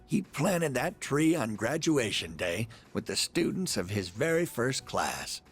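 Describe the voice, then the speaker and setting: A man playing a genial, folksy old husband in a video game, with a creaky voice and a joking way of talking. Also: medium pitch, big pitch swings, unhurried, faint music behind. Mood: wistful. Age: older. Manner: folksy, jovial.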